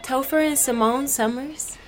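A concerned female hospital medic, played by a woman in an audio drama. Her voice is on the higher side, and she speaks in a measured, clinical, professional manner. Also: a little hiss or hum. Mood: grave concern.

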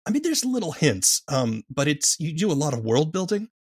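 Male interviewer: rough-edged medium voice, reflective chat, very dry room. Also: fairly high pitch, sing-song, a little fast, no room sound. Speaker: podcast host, interviewer.

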